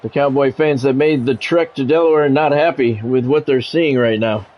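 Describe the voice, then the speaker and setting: A man's voice: mid-range voice, moderate pace, lo-fi sound. A man streaming a sports game, mixing radio-style play-by-play with casual chat.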